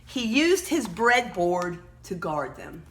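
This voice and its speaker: A woman reading a children's story aloud expressively, emphatically pausing to point out words and pictures. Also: mid-range voice, sing-song, a bit bright, a little hiss or hum.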